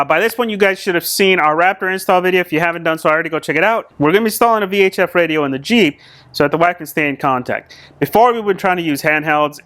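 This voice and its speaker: A man with a slightly high-pitched voice hosts a do-it-yourself vehicle video. He explains each step casually and conversationally, as if chatting with fellow hobbyists. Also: fairly bright tone, no room sound. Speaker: host of an off-road vehicle channel. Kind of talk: casual install tutorial to camera.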